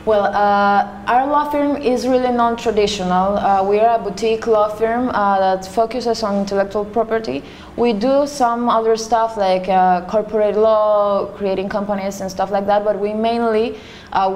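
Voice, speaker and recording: A young woman from Panama answering interview questions casually, pausing often with fillers. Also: medium pitch, steady tone, darkish tone. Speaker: interview guest. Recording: echoey room with faint noise.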